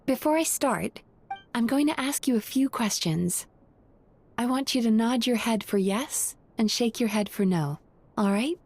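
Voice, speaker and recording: An actress playing a gentle, playful young dream therapist in a video game, speaking in a soft, breathy, coaxing and reassuring way. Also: female voice, on the high side. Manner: coaxing, unhurried, calmly reassuring. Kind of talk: soft, soothing guided-hypnosis game dialogue.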